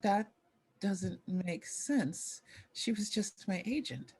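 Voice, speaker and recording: An actress playing a bitter, resigned former child star in a dramatic table read. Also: female voice, medium pitch, takes it slowly, darkish tone, breathy edge. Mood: disbelieving.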